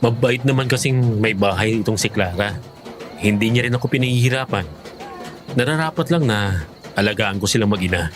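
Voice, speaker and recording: Low-voiced devoted young Filipino husband, played by a man. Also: a little fast, natural voice. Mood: modest, affectionate.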